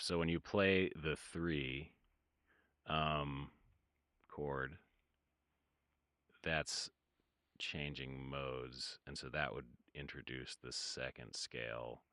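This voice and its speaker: Very deep, gravelly man chatting casually. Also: sharp tone, dry recording, clean studio sound. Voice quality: very low voice. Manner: rambling, hesitant, many fillers and trailing-off sentences. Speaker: livestreaming music producer. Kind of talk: casual livestream chat while working. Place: home studio at a computer.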